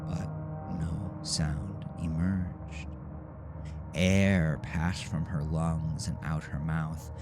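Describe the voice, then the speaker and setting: Very deep, gravelly male horror narrator. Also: little pitch movement, extremely slow, music in the background. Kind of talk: scripted horror story narration.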